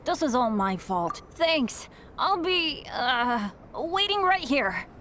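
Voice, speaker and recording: A woman's voice: high voice, big pitch swings, slow pace, a bit bright, slight background noise, exaggerated voice. A woman voicing a cheerful, apologetic young male adventurer in a video game cutscene, eager and self-blaming. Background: game music.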